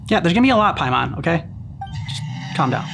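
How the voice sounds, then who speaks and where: A man's voice: on the high side, big pitch swings, fast, vocal fry. A man giving casual, joking, offhand commentary as he reacts to a video game. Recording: game music behind the voice.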